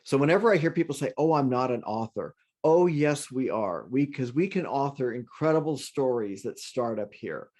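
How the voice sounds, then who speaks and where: A man's voice: mid-range voice, expressive, normal speed, hardly any pauses, a little mellow. A man presenting an online workshop in casual talk, friendly and rambling, often restarting his sentences. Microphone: video call.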